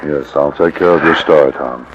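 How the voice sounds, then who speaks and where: An actor playing a resolute, commanding masked western hero, firm and earnest, in a 1940s radio drama. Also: male voice, a bass voice, moderate pace, muffled recording. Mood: grave, resolute. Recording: noisy 1945 radio transcription disc.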